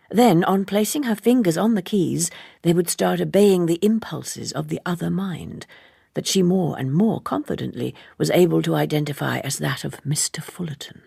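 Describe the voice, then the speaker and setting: A woman reading a literary novel as scripted narration, in a measured and precise manner. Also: on the low side, vocal fry, warm tone, breathy edge, no room sound, clean studio sound. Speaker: audiobook narrator.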